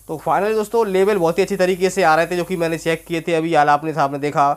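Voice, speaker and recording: A man's voice: on the high side, steady tone, fast, over loud music. A man gives a casual, explanatory product demo, addressing viewers as friends and repeating himself as he goes. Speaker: presenter demonstrating audio equipment for sale.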